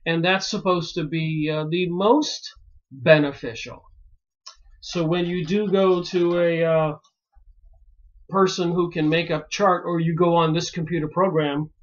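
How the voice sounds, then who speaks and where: A man from Pennsylvania musing aloud in a rambling solo monologue, pausing often and filling gaps with "uh". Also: high voice. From Pennsylvania, United States. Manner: slow and musing, many pauses and fillers. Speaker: video commentator. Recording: dull lo-fi recording.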